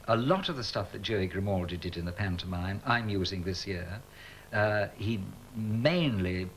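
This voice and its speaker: A man's voice: on the low side, slight background noise, rough recording. A male pantomime performer talking enthusiastically and thoughtfully about the tradition in an interview.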